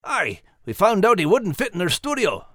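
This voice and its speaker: A man voicing a brisk, grumpy male Scottish terrier who hosts a show, with a Scottish accent and scripted comic banter. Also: high voice, wildly swooping pitch, a bit bright, no echo, studio quality, exaggerated voice. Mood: brisk, flustered. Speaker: host.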